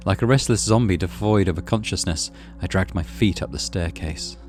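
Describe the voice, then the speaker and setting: A man reading visual novel narration as a gaming host, in an expressive, dramatic way. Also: low voice, normal speed. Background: music.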